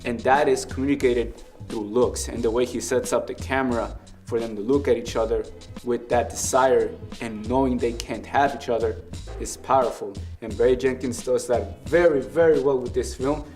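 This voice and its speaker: A man's voice: medium pitch, steady tone, moderate pace, darkish tone, soft background music. A young man with a Mexican accent hosting a casual film review, earnest and analytical about the film he loves. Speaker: film review show host.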